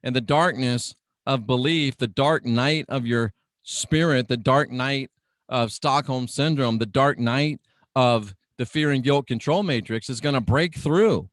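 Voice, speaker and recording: Slow, deliberate male radio preacher. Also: medium pitch, dry recording, studio quality. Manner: dramatic, deliberate, repeats phrases for emphasis. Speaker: radio preacher and show host. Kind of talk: emphatic teaching sermon for radio.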